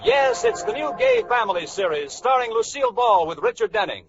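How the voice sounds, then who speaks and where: A man's voice: very high-pitched, huge pitch swings, brisk, gravelly. A man announcing and narrating from a script in 1940s radio style. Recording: muffled old radio broadcast. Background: music.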